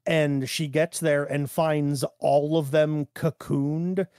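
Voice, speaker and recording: Higher-voiced man casually recapping stories. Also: level tone, slow, a little mellow, no echo, studio quality. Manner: informal, loose storytelling with many asides. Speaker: podcast co-host.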